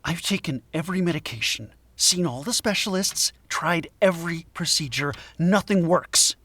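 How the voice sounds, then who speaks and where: Casting: prickly, troubled male academic, audio drama. Husky, very changeable pitch, curt and defensive. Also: fairly bright tone, dry recording. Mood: frustrated, weary. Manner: curt, indignant, stammering when flustered.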